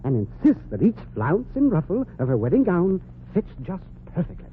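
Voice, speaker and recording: An actor playing a fussy, pompous, easily flustered department store floorwalker in a 1940s radio comedy. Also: male voice, sits high, wildly swooping pitch. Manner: prissy, flustered, haughty, drawn-out exclamations. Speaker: comic supporting actor. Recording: tinny, noisy 1940s radio recording.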